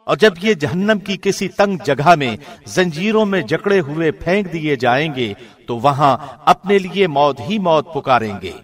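A man reading a scripture translation as a scripted narrator, in a formal, measured radio presenter's manner. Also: on the high side, lively intonation.

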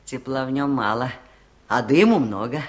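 A woman's voice: very deep voice, animated, moderate pace. An older woman playing a wise, lonely village aunt in a 1970s radio play, speaking in a folksy, musing way. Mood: matter-of-fact, plaintive.

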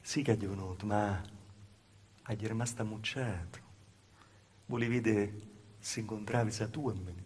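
A male stage actor with a Calabrian accent playing a simple, honest village woman in an intimate dialect monologue, confiding and halting, with repeated phrases. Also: on the low side, steady tone, darkish tone, a little hiss or hum, natural voice. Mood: wary, confiding. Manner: confiding, halting, repetitive storytelling. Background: live music.

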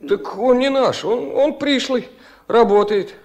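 An actor playing an excitable male villager answering his boss in a radio play. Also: extremely high pitch, unhurried. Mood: eager. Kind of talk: Soviet radio play acting.

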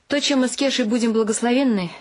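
A woman's voice: fairly high pitch, fast, noisy background, natural voice. An actress playing a wry, dreamy middle-aged wife and mother, narrating her household life in a radio play. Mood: wry, wistful. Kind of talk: first-person radio drama narration. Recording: music behind the voice.

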